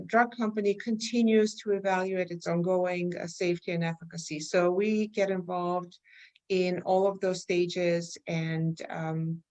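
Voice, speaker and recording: A middle-aged woman speaks with a light Armenian accent in a fairly low voice. She explains things carefully and at an even pace, and often pauses on fillers while she finds the next word. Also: dull audio. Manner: measured, explanatory, many fillers. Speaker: guest, research company chair. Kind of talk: interview answers.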